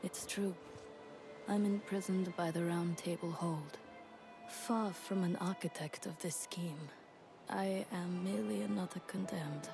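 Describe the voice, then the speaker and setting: An actress playing a solemn, resigned caretaker imprisoned in a fantasy hold, speaking in a measured, hushed, formal way in a video game. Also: female voice, medium pitch, vocal fry. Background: game music.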